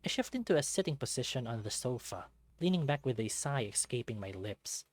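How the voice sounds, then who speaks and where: A man's voice: mid-range voice, sing-song, a little fast. A man reading scripted visual novel narration as the storyteller. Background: music.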